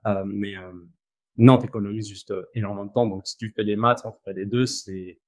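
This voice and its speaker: A man with an Argentine accent, talking casually as an interview guest, relaxed and slangy, with frequent fillers and restarts. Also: fairly low pitch, normal speed, no room sound. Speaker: guest, company founder.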